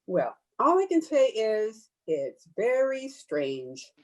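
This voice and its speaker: A woman's voice: a little high, wildly swooping pitch, takes it slowly, exaggerated voice. A woman playing a blunt, disapproving, bitter family friend in an old-time radio play. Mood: puzzled, critical. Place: home, over a video call.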